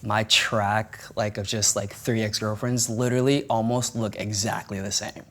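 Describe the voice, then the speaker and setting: A man's voice: fairly low pitch, fairly even intonation, normal speed. A man in his twenties talking casually and earnestly, full of fillers, in a candid group conversation. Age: 20s. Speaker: participant.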